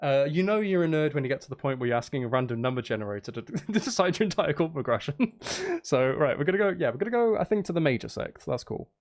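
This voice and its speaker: A man's voice: fairly high pitch, lively intonation, quick pace. A man chatting casually on a live stream as he makes music, geeky and playful, thinking aloud in a rambling way.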